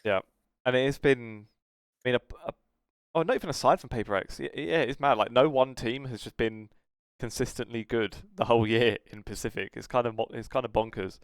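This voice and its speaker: A man's voice: medium pitch, brisk, smooth, no room sound, clean studio sound. A man with a British accent co-hosting a casual esports podcast, talking in a rambling, hesitant way that trails off. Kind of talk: casual esports news discussion.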